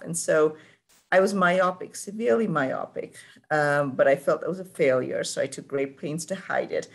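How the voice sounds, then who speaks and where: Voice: mid-range voice, gravelly. A surgeon from Sri Lanka answering a panel question, telling a personal story in a reflective, candid way with touches of humor.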